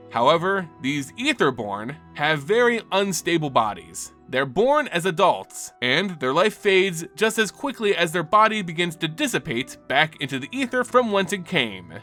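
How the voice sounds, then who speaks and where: A man's voice: on the high side, very animated, moderate pace, sharp tone. A man giving a dramatic scripted narration of a fantasy story in a theatrical storytelling manner. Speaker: narrator of a lore channel. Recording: music bed under the voice. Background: background music.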